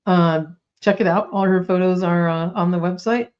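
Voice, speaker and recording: A woman's voice: lowish voice, steady tone, moderate pace, darkish tone, muffled recording. A woman co-hosting a toy news podcast in casual chat, bubbly and full of fillers, coming down with a slight cold. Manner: chatty, bubbly, lots of likes and ums.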